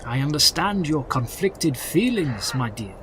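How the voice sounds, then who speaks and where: A man playing a courtly older doctor and stern vampire hunter in an audio drama, with measured, grandiose speech. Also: a little high, big pitch swings, background noise. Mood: grave. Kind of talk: theatrical audio drama acting. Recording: music under the dialogue.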